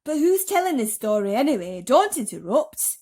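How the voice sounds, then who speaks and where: Woman reading as a loud, boastful centipede, a grinning storybook rascal, high and lively. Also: moderate pace.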